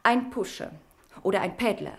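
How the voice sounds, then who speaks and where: A woman's voice: fairly high pitch, steady tone, normal speed, close to an everyday voice. An actress playing a frightened young woman with an addiction, confessing earnestly in in a radio crime drama. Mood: confessional, tense.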